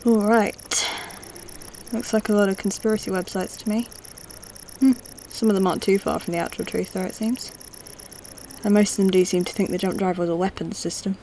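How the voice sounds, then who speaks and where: A woman playing a courteous, curious old-model android in a sci-fi audio drama, speaking in a measured, polite way. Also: mid-range voice, fairly even intonation, darkish tone, slight background noise, close to an everyday voice. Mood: curious, dry.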